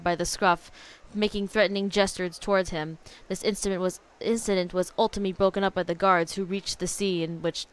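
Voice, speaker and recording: A woman's voice: on the low side, fairly bright tone, clean tone. A woman streamer reacting casually to a video game, informal and opinionated, reading text aloud with some stumbles.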